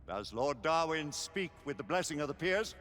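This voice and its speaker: An actor playing a stern elderly Lord Chancellor in a game, formal and imperious, with a husky voice. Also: male voice, fairly high pitch, sing-song, normal speed, a bit bright. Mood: measured, firm. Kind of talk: dramatic video game cutscene acting. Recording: echoing great hall.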